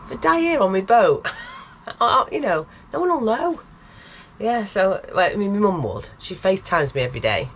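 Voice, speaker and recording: A woman chats casually to camera, bunged up with a cold but still jokey and quick to laugh. Her voice sits at an ordinary middle pitch. A faint wash of outdoor noise runs behind her. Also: sing-song. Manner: chatty, self-mocking, laughs often. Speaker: vlogger. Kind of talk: casual vlog chat. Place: aboard and around a narrowboat on a canal.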